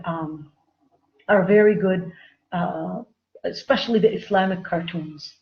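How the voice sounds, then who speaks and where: Chatty woman teacher, dull recording. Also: mid-range voice. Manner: chatty, repeats phrases for emphasis, addresses viewers as "you guys". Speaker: Islamic studies teacher. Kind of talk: informal online lecture.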